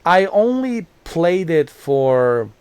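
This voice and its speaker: A man's voice: fairly high pitch, sing-song, drawn-out words, darkish tone, smooth, no echo. A man in his forties, hosting a gaming channel and talking casually to his viewers, rambling and repeating himself with many fillers. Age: 40s. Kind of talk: casual talk to camera.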